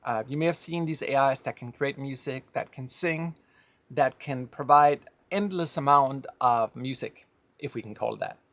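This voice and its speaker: A man's voice: mid-range voice, normal speed, a bit bright, no room sound. A man from Denmark with a slight Danish accent, giving a reflective, conversational monologue with firm opinions. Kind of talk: personal monologue.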